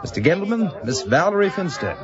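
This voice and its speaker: An actor playing a tactful, unflappable party host with a measured, soothing manner in a comic audio drama. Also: male voice, high voice, very animated, crowd noise. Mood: reassuring. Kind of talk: audio drama comedy acting. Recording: muffled audio.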